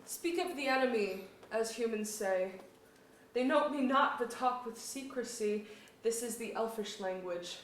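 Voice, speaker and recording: A woman's voice: on the high side, a little slow, natural voice. A woman playing a proud, hasty young fairy sprite in love, in a staged reading of an archaic verse play, with declamatory diction. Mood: sly, gloating. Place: museum auditorium staged reading. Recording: echoey auditorium from far off, noisy.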